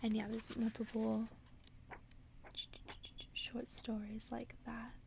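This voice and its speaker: A woman ASMR host whispering softly and close to the microphone in a breathy voice, as if reading bedtime stories aloud. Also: fairly high pitch, completely flat delivery, takes it slowly, background noise. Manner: whispering, soft-spoken.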